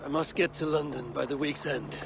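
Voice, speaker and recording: Gravelly, solemn Viking warrior. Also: male voice, fairly high pitch, steady tone, brisk, noisy background. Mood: solemn, reflective. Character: weathered Viking warrior leader, calm, reflective, resolute. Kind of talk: dramatic video game acting.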